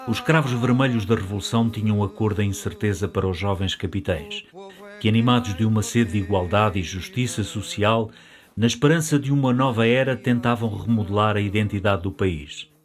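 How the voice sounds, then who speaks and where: A middle-aged man from Portugal narrating a documentary in the first person, in a reflective, nostalgic manner. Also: lowish voice. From Portugal, later living in France.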